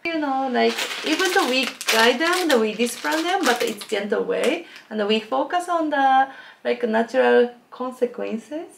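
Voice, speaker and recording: A gentle woman with a Japanese accent chats casually, leaning on fillers as she explains. Her voice is a bit high, with a gravelly, creaky edge. Faint noise sits behind her in an echoey room. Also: lively intonation, normal speed. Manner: gentle, speaks with many "like" fillers. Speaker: family vlogger, co-host. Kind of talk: casual couple chat.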